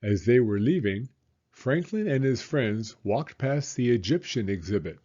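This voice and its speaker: A man reading a children's storybook aloud in an expressive, deliberate storytelling manner. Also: mid-range voice, lo-fi sound. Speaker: storybook reader. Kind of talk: children's read-aloud narration.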